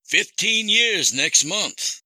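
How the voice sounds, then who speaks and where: A man voicing a sadistic, coldly amused jilted husband in an audio horror story, taunting with menacing calm. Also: high-pitched, slow, dry recording, pitched above the performer's own voice, heavily acted, poor audio. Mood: cold menace.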